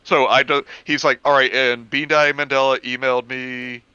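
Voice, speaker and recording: A man chatting casually and explaining things in an animated way, on a lo-fi recording. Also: medium pitch, normal speed, clean tone, no room sound.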